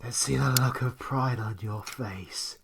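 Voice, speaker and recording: A British man playing a grieving, bitter werewolf villain in an audio roleplay, speaking in a theatrical, anguished, breathy manner. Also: medium pitch, noisy background. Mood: anguished, rising. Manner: slow, theatrical, anguished, often breathy and half-whispered. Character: grieving male werewolf villain, bitter and powerful, mourning his mother. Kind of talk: scripted audio roleplay monologue.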